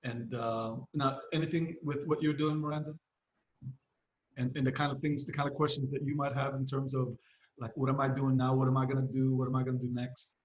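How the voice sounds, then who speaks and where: A man in his fifties giving fatherly advice in casual podcast talk, halting and repeating phrases as he goes. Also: medium pitch, flat delivery. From Philippines, moved to the US at nine, San Francisco Bay Area. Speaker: podcast host, parent of young adults. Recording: roomy echo, slightly dull sound.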